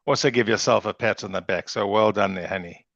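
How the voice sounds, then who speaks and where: A man's voice: on the low side, a little fast, gravelly, fairly bright tone, dry recording. A man hosting a casual interview podcast, effusive and often restarting his questions. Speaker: podcast host. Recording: dull lo-fi recording.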